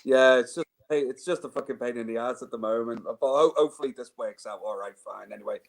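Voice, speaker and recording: An easygoing man in his forties speaks with a Birkenhead accent, chatting as a guest. He calls everyone mate and talks at an ordinary pitch, heard through a phone on a video call. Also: fast, creaky. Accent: Birkenhead, Wirral. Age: 40s. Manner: easygoing, calls people mate, laughs along. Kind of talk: casual chat.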